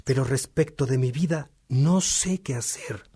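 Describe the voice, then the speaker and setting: A man's voice: medium pitch, unhurried, mellow, a little hiss or hum, natural voice. A man acting a devout, earnest churchman in a religious radio drama, speaking with solemn, reflective, formal phrasing. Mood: troubled, pleading.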